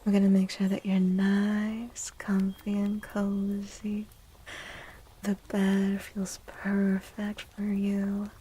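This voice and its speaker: Female ASMR livestream host: hushed, mellow, breathy delivery with gentle, soothing manner and frequent whispering. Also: medium pitch, monotone, slow pace. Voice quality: breathy, often dropping into a whisper. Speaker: livestreamer hosting an ASMR stream. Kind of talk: soft-spoken ASMR, chatting with viewers. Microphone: close microphone.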